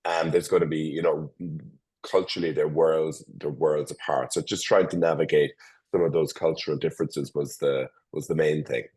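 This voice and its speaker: A man's voice: a bass voice, steady tone, moderate pace, a little mellow, husky. A man in his forties with an Irish accent, a company founder giving an interview over a call, stumbling through restarts and fillers. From Ireland. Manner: hesitant, many restarts and fillers. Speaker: guest, tech company founder. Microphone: remote call.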